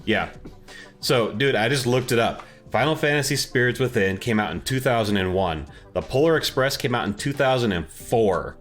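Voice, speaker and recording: A man's voice: medium pitch, animated, moderate pace, fairly bright tone, faint music behind. A man co-hosting a podcast, talking informally and with strong opinions about movies and games in casual chat. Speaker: podcast co-host.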